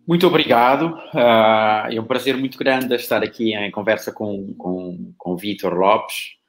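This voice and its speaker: A man's voice: on the low side, a little slow. A man with a Mozambican Portuguese accent, a guest speaking thoughtfully on a live video panel, often repeating words as he searches for them. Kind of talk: reflective live panel talk. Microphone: video call.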